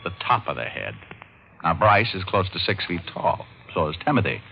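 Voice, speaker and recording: A man playing a sharp, wisecracking private detective in casual, slangy old-time radio style. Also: low voice, big pitch swings, moderate pace, gravelly, soft background music, heavily acted. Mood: reasoning, sure. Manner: casual, slangy, reasoning aloud. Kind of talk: 1940s-50s radio detective acting and narration. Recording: muffled, noisy old radio recording.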